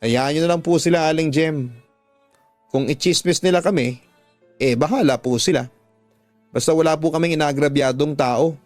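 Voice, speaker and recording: Man as a heartsick young woman, radio drama, plaintive, earnest confiding, raised pitch, music underneath. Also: moderate pace, long pauses, dry recording, natural voice. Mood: calm, resigned.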